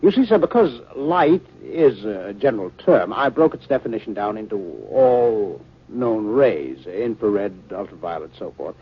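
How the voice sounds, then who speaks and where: An actor playing an earnest, respectful young scientist explaining himself in 1950s radio drama style. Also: male voice, on the low side, lively intonation, unhurried, hardly any pauses, slightly rough. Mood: eager, earnest. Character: earnest, deferential young male scientist inventor. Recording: muffled 1950s radio recording.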